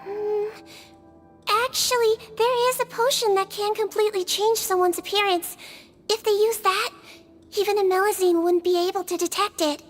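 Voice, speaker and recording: Small cheerful nurse creature, childlike high pitch, sweet, confident, video game, light music behind. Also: female voice, fairly even intonation, moderate pace. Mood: earnest, thoughtful. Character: small, cute, cheerful female nurse creature, earnest clinician. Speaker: head nurse. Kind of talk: video game character acting.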